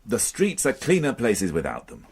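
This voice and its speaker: An actor playing a weary, brooding police inspector in a radio drama, grumbling and hesitant. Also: male voice, mid-range voice, wildly swooping pitch, normal speed, a little mellow, breathy edge. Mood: brooding, bitter.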